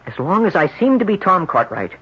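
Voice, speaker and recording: A man's voice: sits high, very animated, brisk, bright, forceful tone, breathy edge, full character voice. An actor playing an earnest, troubled young man in an old-time radio drama. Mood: agitated. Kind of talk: old-time radio drama acting and first-person narration. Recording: dull old radio recording with music.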